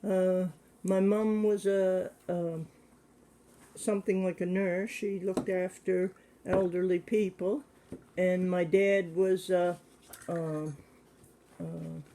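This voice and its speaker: A man in his seventies, answering questions about his childhood in a plain, hesitant way with many pauses. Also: high-pitched, mellow, a little hiss or hum. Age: 70s. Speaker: interviewee. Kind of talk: casual recollection.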